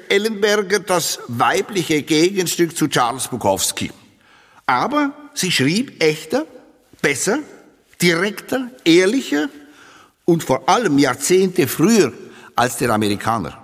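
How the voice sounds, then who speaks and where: A man playing a pompous literary lecturer, speaking in ornate, mock-solemn and emphatic scripted phrases. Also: on the high side. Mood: declamatory. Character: pompous literary lecturer eulogizing an obscure lady diarist. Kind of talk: satirical scripted lecture with read-out quotations. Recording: long reverberant hall.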